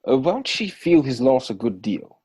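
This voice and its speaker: A man acting a witty, idle young Victorian gentleman in a stage comedy reading, arch and teasing, recorded at home over a video call. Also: mid-range voice, big pitch swings, moderate pace, breathy edge. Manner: arch, teasing, mannered phrasing.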